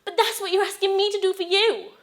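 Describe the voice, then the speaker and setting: A woman's voice: sits high, big pitch swings, normal speed. A woman performing a stage monologue as a jilted, clingy wife, sarcastic and desperately in love. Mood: tearful, pleading.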